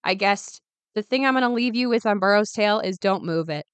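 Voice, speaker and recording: A woman in her twenties hosting her own podcast in a casual, chatty way, her voice still raspy from being unwell. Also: mid-range voice, a little fast, no room sound. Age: 20s. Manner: chatty, upbeat, many ums and asides. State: raspy, recovering voice. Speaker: podcast host. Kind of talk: casual solo podcast chat.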